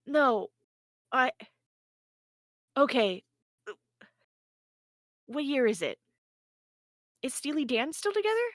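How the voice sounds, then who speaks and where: A woman's voice: high voice, sing-song, slow pace, long pauses, fairly bright tone, no room sound, studio quality, full character voice. A woman playing a bewildered, anxious space probe in a podcast table read, hesitant and stammering. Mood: dazed. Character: bewildered newly awakened space probe, anxious, childlike, pleading.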